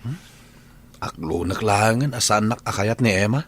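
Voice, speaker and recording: A man's voice: on the low side, normal speed, fairly bright tone, slightly rough. A man playing a lovesick young Filipino bachelor in a radio drama, speaking earnestly as he courts. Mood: troubled, resigned.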